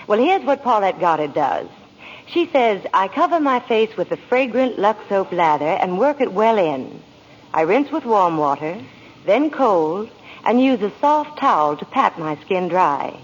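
Old time radio ad lady, husky voice, very lively up and down, echoey. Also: medium pitch, a little slow, dull audio. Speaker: commercial spokeswoman. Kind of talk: scripted 1940s radio ad dialogue.

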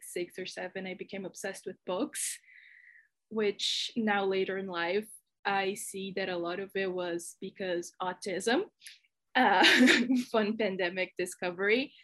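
Brazilian-accented woman podcast guest, animated storyteller, laughs easily. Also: mid-range voice, normal speed. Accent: Brazilian Portuguese. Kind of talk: casual chat.

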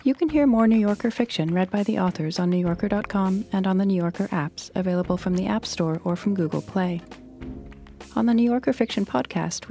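A woman's voice: fairly low pitch, dark tone. A woman announcer reading a scripted podcast outro.

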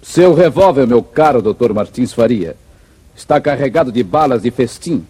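A fearless cowboy hero of the Brazilian backlands in an old radio serial. His voice is very husky and mellow, with confident, declamatory delivery on a worn vintage recording. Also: mid-range voice, animated, normal speed. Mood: calm, triumphant. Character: heroic male gunfighter of the backlands, calm, righteous, fearless.

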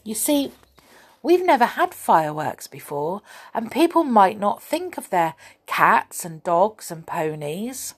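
A woman doing Cheery One, an excited little villager. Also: mid-range voice, slow, husky, full character voice. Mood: eager. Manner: excited, breathless, eager.

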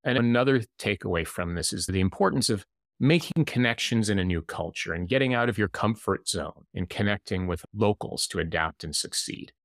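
A man hosting a podcast about living in new cultures, speaking in an earnest, measured way. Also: fairly low pitch, animated, fairly bright tone, no echo, clean studio sound. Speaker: podcast host and intercultural coach. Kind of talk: podcast interview.

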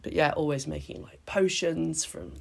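A woman in her late thirties from Britain, hoarse with a cold, chatting casually as a podcast co-host and often restarting her sentences. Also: low-pitched, very animated, normal speed. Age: late 30s. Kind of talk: casual chat. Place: sitting on the floor in a home play fort.

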